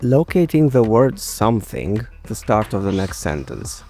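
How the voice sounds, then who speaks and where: A man in his thirties or forties presenting a scripted explainer in a measured, precise way. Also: on the low side, sing-song, mellow. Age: 30s to 40s. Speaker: video presenter. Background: music.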